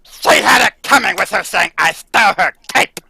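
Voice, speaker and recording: A man acting out a jealous has-been circus performer in a 1940s-style radio play, shouting with spite. The voice is bright and gravelly, with lively swings in pitch. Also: normal speed, no room sound, exaggerated voice. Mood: spiteful. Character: jealous fading female circus cat trainer.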